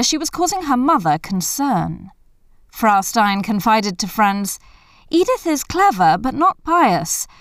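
A woman reading a scripted biographical narration in a measured, formal manner. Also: a little high, no room sound, clean studio sound.

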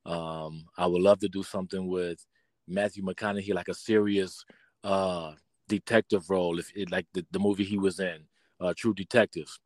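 A middle-aged American man with a fairly low voice talks off the cuff as a guest. His delivery is informal and heartfelt, and the sound is dry with little room around it. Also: fairly even intonation, moderate pace, darkish tone, dry recording. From Pontiac, Michigan, United States. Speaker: podcast guest, performing artist. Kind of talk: casual conversational interview talk.

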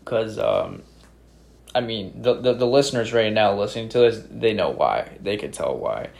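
A man from upstate New York with a New York accent, hosting a podcast in a drawn-out, enthusiastic way. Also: lowish voice, creaky, darkish tone. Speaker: podcast host. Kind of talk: casual podcast chat.

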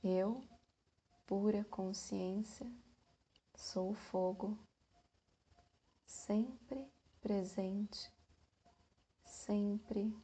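Very slow, husky woman guiding meditation. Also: medium pitch, dark tone. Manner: very slow, short phrases with long pauses. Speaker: meditation guide. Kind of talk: guided meditation.

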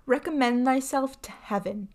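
A woman's voice: sits high, normal speed, voice pushed up. Woman reading as Bluebeard, a bawling cruel nobleman. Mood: cold threatening. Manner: loud and bawling.

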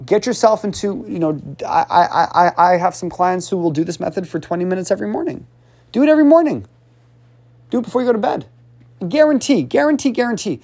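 A man giving casual coaching advice on speaking, animated and repetitive, often sighing and urging the listener on. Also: high-pitched, brisk. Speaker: speech coach.

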